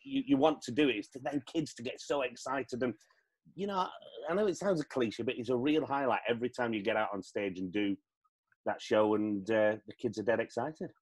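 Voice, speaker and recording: A husky-voiced man from the stage world talks. He is chatty and casual, joking as he goes, at a middling pitch. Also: brisk, rough recording. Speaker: podcast guest, pantomime performer. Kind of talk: casual jokey chat.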